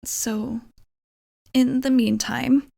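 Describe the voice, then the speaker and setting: Woman acting a shy vampire roommate, creaky soft voice. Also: fairly high pitch, steady tone, drawn-out words, heavily acted. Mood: relieved, grateful. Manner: hesitant, rambling, self-deprecating, often dropping toward a whisper. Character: shy, apologetic female vampire roommate, nervous and gentle. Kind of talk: ASMR roleplay, softly acted monologue.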